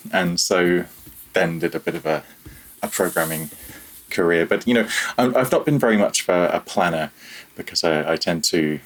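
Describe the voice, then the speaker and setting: A man's voice: deep voice, fairly bright tone, low-quality recording. A man in his thirties chatting casually as an interview guest, reflective and a little hesitant, with a self-deprecating way of talking. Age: 30s. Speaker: podcast guest, audio programmer.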